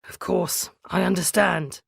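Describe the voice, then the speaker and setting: A woman's voice: on the low side, steady tone, a little slow, creaky, slightly rough, dry recording, clean studio sound, full character voice. A woman voicing a huge, stern beast with a solemn, commanding and sorrowful manner in a children's story. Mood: sad, resigned.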